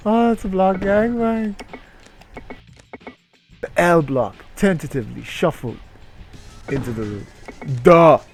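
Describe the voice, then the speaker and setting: A man reads a story aloud in a mock-dramatic style, taking his time. He is crude and irreverent, comic in his delivery, with prominent music playing behind him. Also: sits high. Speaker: reader and comedic streamer.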